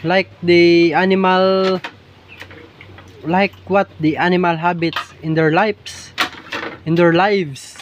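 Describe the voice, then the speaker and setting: A man from the Philippines with a Hiligaynon-flavored Filipino accent and Hiligaynon as his first language, narrating over nature footage in English, halting and repeating words as he hunts for the right phrase. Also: high voice, steady tone, slight background noise. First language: Ilonggo (Hiligaynon). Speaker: vlogger filming larvae in a field.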